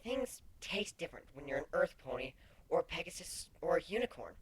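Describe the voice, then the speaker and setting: A man's voice: on the high side, very animated, fairly quick, slight background noise. A man voicing a cute, playful young female changeling pony, cheeky and naive, in a story reading. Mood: puzzled, quiet.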